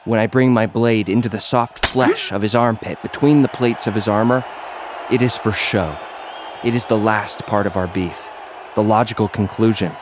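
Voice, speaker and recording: A man's voice: lowish voice, normal speed, background noise, lightly acted. An actor playing a battered young gladiator influencer, narrating his own story in a terse, dramatic, grimly intense way. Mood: grim, tense. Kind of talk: first-person audio fiction narration. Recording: prominent music under the voice.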